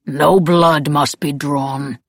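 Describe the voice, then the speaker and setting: A woman's voice: low voice, fairly even intonation, no echo, studio quality, heavily acted. A woman voicing a proud male werewolf pack leader in an audiobook, formal and threatening in manner. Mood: menacing, defiant. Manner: formal, slow, warning speech.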